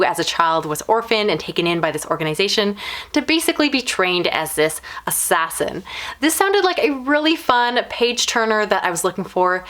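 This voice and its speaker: A woman with a medium-pitched voice talks casually to her audience as a book reviewer. She is conversational and candid, softening her opinions with loose, informal phrasing. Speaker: book reviewer and channel host.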